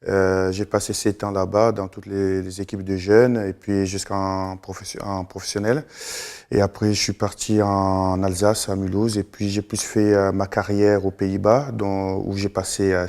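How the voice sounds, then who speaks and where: A middle-aged man from Congo, a guest in a TV studio, answering slowly with many fillers and restarts. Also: deep voice, monotone, darkish tone. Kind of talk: live TV interview.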